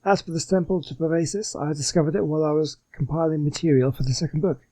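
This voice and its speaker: A man's voice: a little high, steady tone, fast talker, dark tone. A man voicing an earnest, polite reformed swindler from a video game, reading the dialogue in a wordy, scholarly way.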